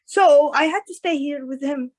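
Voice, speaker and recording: A woman, an orthodontist guest talking in a casual interview over a video call, with hesitant pauses and restarts. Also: high-pitched, darkish tone. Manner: hesitant, many restarts and fillers. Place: in Dubai.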